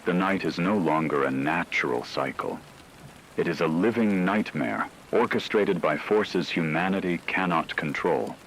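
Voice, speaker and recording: Very deep, ominous radio announcer played by a man. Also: unhurried, a bit bright, slight background noise, rough recording. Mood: grave, ominous. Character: grave male radio announcer reporting a werewolf apocalypse. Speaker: radio emergency broadcast announcer. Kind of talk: scripted horror radio narration.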